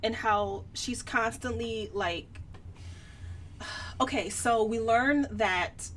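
A woman with a fairly high voice gives her blunt opinions in a casual book discussion. She speaks colloquially, as a podcast co-host would. She sits a bit away from the mic, with some noise behind her. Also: takes it slowly, fairly bright tone. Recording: distant mic with background noise.

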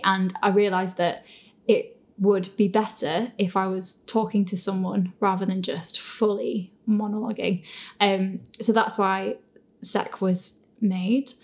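A woman hosting a casual, chatty question and answer session about her audio fiction, in a giggly, self-deprecating way. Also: medium pitch. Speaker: host and audio fiction creator. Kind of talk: casual Q&A chat.

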